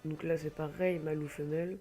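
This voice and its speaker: A man's voice: fairly high pitch, level tone, a little fast, dark tone, soft background music. A man with a cold, talking casually and hesitantly over gameplay from a home computer mic, rambling with many filler sounds. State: has a cold. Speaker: gamer presenting an online game.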